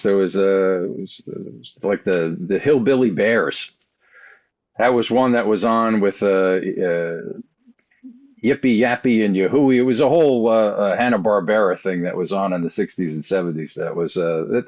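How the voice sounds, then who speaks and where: A middle-aged man chatting casually on a podcast in a halting, rambling way. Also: lowish voice, darkish tone, muffled recording.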